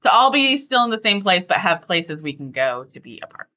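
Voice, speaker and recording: A middle-aged woman chats casually on a family podcast, with a bright voice that swings freely up and down. She is talkative and emphatic. The recording is a little dull. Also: on the low side, sing-song, brisk, slight background noise. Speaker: podcast host.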